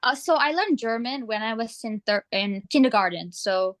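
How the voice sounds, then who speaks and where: A woman's voice: fairly high pitch, lively intonation, moderate pace, dry recording, studio quality. A teenage girl on a video call, chatting casually in a friendly, reassuring way. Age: teens. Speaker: guest language speaker. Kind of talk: casual conversation.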